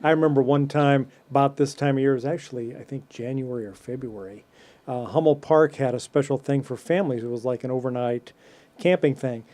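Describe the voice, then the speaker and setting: A man's voice: medium pitch, fairly quick, warm tone. A man hosting a casual podcast chat, telling stories and laughing often. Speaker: podcast host.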